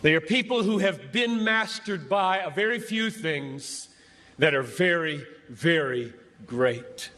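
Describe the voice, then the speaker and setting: A man preaching an impassioned sermon to a crowd as a pastor, speaking emphatically and deliberately with dramatic pauses between short phrases. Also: on the high side, animated, pushed and bright. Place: large gathering before a crowd. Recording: echoey large venue, long reverb.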